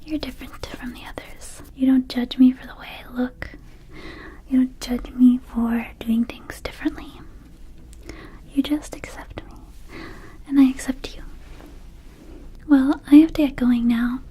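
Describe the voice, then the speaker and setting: A woman voicing a small, friendly vampire shopkeeper in an ASMR roleplay, whispering gently and haltingly to the listener as a close friend. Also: sits high, flat delivery, poor audio. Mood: affectionate, sheepish. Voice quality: soft, breathy whisper. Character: small friendly female vampire shopkeeper, quirky, needy, affectionate. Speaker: ASMR performer.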